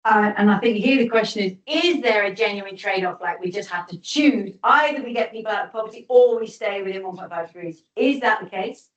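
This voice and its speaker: Woman lecturer, thinks out loud, restarts a lot, echoey hall, mic kinda far. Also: mid-range voice, brisk, few pauses, lo-fi sound. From United Kingdom. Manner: thoughtful, restarts often, reframes questions. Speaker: guest lecturer. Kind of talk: lecture answering audience questions.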